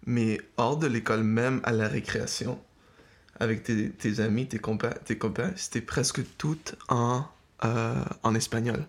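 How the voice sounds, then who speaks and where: A man's voice: mid-range voice, steady tone, moderate pace. A man in his thirties from Mexico, talking casually as an interviewee.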